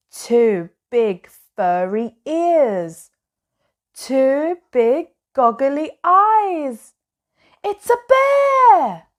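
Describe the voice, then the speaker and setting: A woman narrating children's bedtime stories in a measured, expressive, dramatic read-aloud style. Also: fairly high pitch. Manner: slow, measured, dramatic storytelling for young children. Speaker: storybook narrator.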